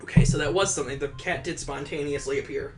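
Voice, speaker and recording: Gamer reading story text out loud, mid-pitched androgynous voice, jokey little asides. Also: fast talker, heavy background noise. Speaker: host of a game playthrough. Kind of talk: reading visual novel narration aloud with casual asides.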